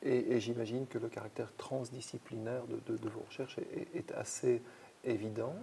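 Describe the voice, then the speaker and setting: A man's voice: mid-range voice, level tone, moderate pace, creaky, dark tone, a little hiss or hum. A man hosting an interview, asking questions attentively and affirming often. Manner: attentive, frequent backchannel affirmations. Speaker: interviewer host. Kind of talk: institutional interview.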